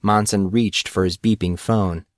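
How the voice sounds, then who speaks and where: A man's voice: deep voice, fairly even intonation, measured pace, dry recording, clean studio sound. A man reading a story aloud as scripted audiobook narration.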